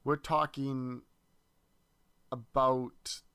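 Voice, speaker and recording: A man presenting a history lesson, reading a dense text aloud in a measured way with small slips and restarts. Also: mid-range voice, flat delivery, sharp tone, clear, resonant tone, dry recording.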